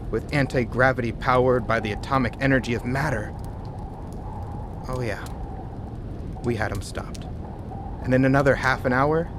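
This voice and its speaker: A man plays a sharp-minded polar scientist in a radio drama. His voice is of average pitch, wry and explanatory, with noticeable ambient noise behind the dialogue. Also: natural voice.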